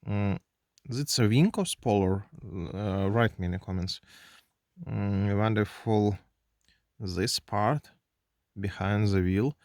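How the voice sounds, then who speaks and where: Man, Russian accent, second-language English, slow and halting with fillers; toy reviewer in a dry, close desk recording. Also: lowish voice, a little mellow, no room sound. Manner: halting non-native English with many fillers. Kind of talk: hands-on unboxing review. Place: indoors at a desk.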